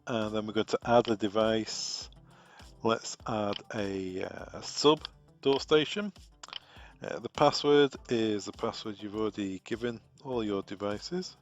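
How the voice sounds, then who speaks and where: A man with a British accent, a product trainer talking through a software configuration step by step, relaxed and thinking aloud with fillers. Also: on the low side, unhurried, highs cut off, faint music behind.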